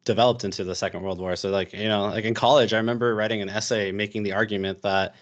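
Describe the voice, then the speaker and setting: A young American man with a fairly low voice talks loosely on a podcast as a guest. He rambles, full of fillers, restarts and asides. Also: steady tone, quick pace, highs cut off. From United States. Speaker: podcast guest, history YouTuber. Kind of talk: casual podcast chat.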